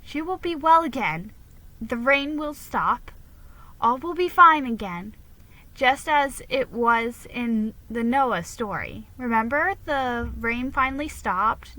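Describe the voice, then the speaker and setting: Woman as young girl, storybook big sister, earnest, hopeful, protective, bright, unhurried. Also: fairly high pitch, low-quality recording.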